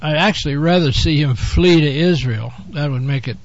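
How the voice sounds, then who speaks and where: Man, show host, hoarse from a cold, rambling and offhand, fairly high voice, dry muffled sound. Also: normal speed, dry recording. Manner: rambling, with frequent "uh" and offhand asides. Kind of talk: casual talk-show monologue.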